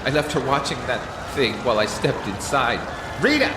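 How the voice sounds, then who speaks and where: A man's voice: medium pitch, big pitch swings, normal speed, reverberant, big-room sound, heavy background noise, pitched above the performer's own voice, lightly acted. A man voicing a frantic mother asking anxiously after her lost daughter. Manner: anxious, breathless questioning.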